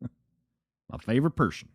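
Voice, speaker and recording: A man's voice: unhurried, natural voice. A man playing a laid-back, sarcastic radio disc jockey of the future, savoring his words in loose patter. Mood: breezy, wry. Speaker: radio host.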